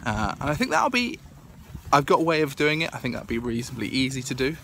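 Bright-voiced croaky British man vlogging outdoors. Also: medium pitch, big pitch swings, quick pace, a little hiss or hum. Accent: British English. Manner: chatty, thinking aloud with many fillers. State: croaky with a cold. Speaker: family vlogger. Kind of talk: casual vlog to camera. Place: outdoors in a large garden and meadow. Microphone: phone camera held at arm's length.

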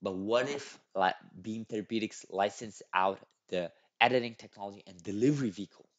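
Man, young adult, non-native speaker, fairly low voice, dull lo-fi headset sound, restarts words. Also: fairly quick. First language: not English. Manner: repeats and restarts words, many "right?" tags. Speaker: channel host. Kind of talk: reading and commenting on a thread. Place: small room at a desk. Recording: dull lo-fi audio.

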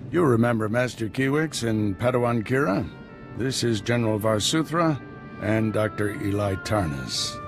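An actor playing a grave, composed master in a video game. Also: male voice, fairly low pitch, expressive, moderate pace. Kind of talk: video game cutscene acting. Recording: music behind voice.

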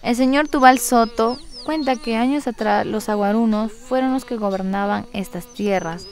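A woman's voice: on the high side, normal speed. A woman, narrating a scripted first-person documentary radio story.

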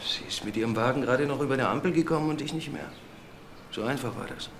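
A man's voice: medium pitch, fast talker, close to an everyday voice. A man playing a defensive, earnest widower being questioned in a crime drama. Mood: defensive, strained. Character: defensive widowed husband under police questioning. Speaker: actor playing a questioned husband. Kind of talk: German TV crime drama dialogue. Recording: clearly noisy drama soundtrack.

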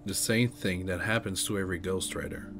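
An actor playing a weary, guarded vampire mentor in an amateur audio drama. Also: male voice, deep voice, a little fast, creaky, music in the background. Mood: guarded, calm.

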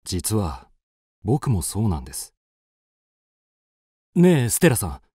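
A man plays a courteous gentleman traveller in a radio drama, earnest and poetic. His mellow voice moves expressively up and down, wistful and persuasive, in a dry close sound. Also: on the low side, huge pitch swings, takes it slowly, slightly rough, no room sound, heavily acted.

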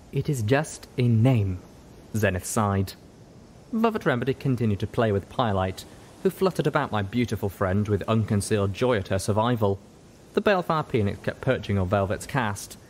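A man reading scripted story narration as an audiobook narrator. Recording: roomy echo, audible noise, low rumble.

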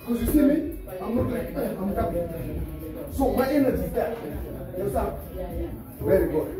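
A man's voice: high voice, animated, moderate pace. Male boxing coach, upbeat and repetitive, heard from across a roomy gym with music playing. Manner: encouraging, repeats phrases, laughs often. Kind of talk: coaching during a gym session. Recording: echoey gym from far off with music and crowd noise. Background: music and people in the gym.

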